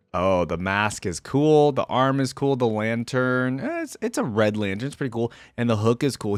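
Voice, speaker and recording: A man hosts a casual reaction video, thinking out loud as he gives his opinions. His pitch moves around a lot, sitting at a middling height overall. The sound is close and dry, with an informal, unscripted delivery. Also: no echo. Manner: mulls aloud, informal, opinionated.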